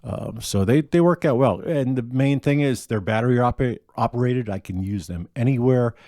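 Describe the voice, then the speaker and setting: A middle-aged man with a deeper voice giving a relaxed, rambling talk about his gear, full of ums and restarts. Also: normal speed, no room sound, studio quality. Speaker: gigging acoustic musician. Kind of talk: casual gear rundown.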